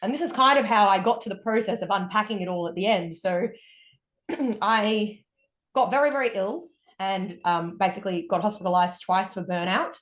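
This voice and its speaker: A woman's voice: mid-range voice, moderate pace, fairly bright tone. Chatty Australian woman, echoey room. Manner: chatty, upbeat and candid. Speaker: guest, wellness coach. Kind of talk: casual interview storytelling.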